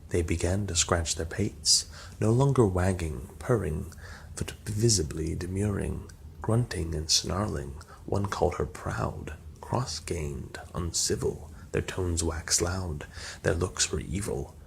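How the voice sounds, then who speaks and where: A man's voice: sits low, takes it slowly. A man narrating a poem aloud as an ASMR reading. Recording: clear background noise.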